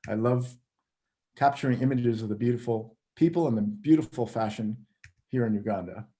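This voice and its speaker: A man's voice: mid-range voice, moderate pace, warm tone. A man hosting a video call, presenting a slideshow talk in a friendly, plain way. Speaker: travel photography company head, presenter.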